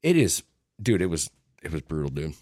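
A man in his thirties, a little hoarse, co-hosting a casual podcast and rambling with a lot of swearing. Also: very deep voice, sing-song, fairly quick, darkish tone, no room sound, clean studio sound. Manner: profane, rambling. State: slightly hoarse. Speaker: podcast co-host.

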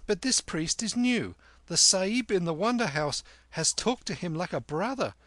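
A man voicing a bold, cheeky street boy in a storybook reading, high and lively. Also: normal speed, no echo, exaggerated voice. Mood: pleading.

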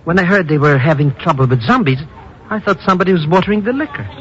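A muffled, hissy vintage radio recording carries a glib, cowardly little schemer. His voice sits high and swoops about animatedly as he wheedles through his hammy comic patter. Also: lively intonation, fast. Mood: nervous, complaining. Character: fast-talking comic schemer, a cowardly petty secret agent. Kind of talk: 1950s radio drama acting.

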